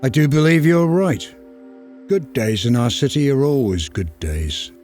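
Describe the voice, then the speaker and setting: A man voicing a plain-spoken male milkman in a storybook reading, brisk and a little raised. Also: medium pitch, lively intonation, a little mellow, faint music behind, lightly acted.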